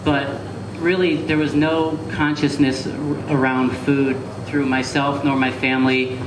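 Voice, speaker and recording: Young male chef, guest at a cafe talk, loose rambling delivery, roomy echo, steady noise behind. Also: medium pitch, measured pace, recorded from a distance. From Connecticut, USA. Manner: relaxed and rambling, with many fillers. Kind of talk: casual interview answers. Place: live talk event in a cafe. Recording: echoey room with background noise.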